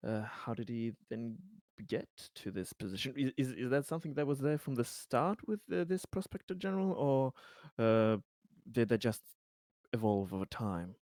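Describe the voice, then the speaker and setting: A man playing a probing, polite corporate interviewer in a tabletop roleplaying game, speaking in a halting, deliberate way. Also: mid-range voice, expressive, darkish tone, dry recording, close to an everyday voice. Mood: puzzled.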